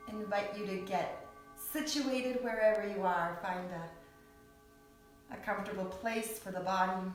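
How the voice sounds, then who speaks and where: A woman guiding a yoga nidra meditation in a soothing, unhurried way, with long pauses between short phrases. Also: medium pitch, steady tone. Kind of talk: guided meditation. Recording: echoey, distant mic with background music.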